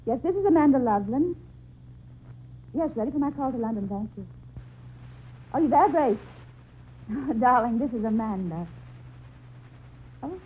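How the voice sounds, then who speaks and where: An actress playing an anxious woman in an old-time radio drama, speaking in a theatrical, exclamatory way. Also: fairly high pitch. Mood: affectionate, composed. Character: anxious woman recounting a ghostly rescue. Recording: muffled tinny old radio recording, faint noise.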